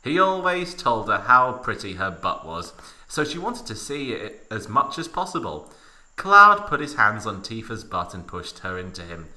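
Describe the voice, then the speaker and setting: Animated, forceful deep-voiced man narrating. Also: normal speed, poor audio. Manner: mock-serious, theatrical, heavy emphasis. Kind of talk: dramatic story reading, comic.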